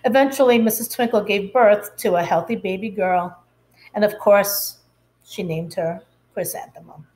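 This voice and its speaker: A woman reading a picture book aloud as a schoolteacher, expressively and deliberately, putting questions to her young listeners. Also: mid-range voice, darkish tone, rough recording. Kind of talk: expressive children's storytime read-aloud.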